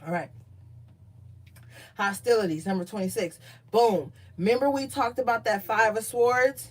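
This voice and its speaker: A woman giving a casual tarot reading, chatty and profane, reading card meanings aloud more calmly. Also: fairly high pitch, moderate pace. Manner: loose, profane, chatty asides. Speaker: tarot reader.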